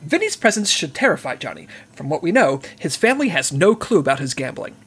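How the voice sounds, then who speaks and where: A brisk, businesslike section chief, as a woman acts her out, giving crisp mission briefings with a lively rise and fall in her voice, in an audio comedy drama. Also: deep voice, heavily acted.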